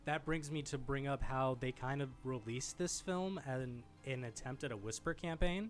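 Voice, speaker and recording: A man's voice: mid-range voice, clear, resonant tone. A man hosting a video essay, giving informal, enthusiastic film commentary in a conversational style. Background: background music.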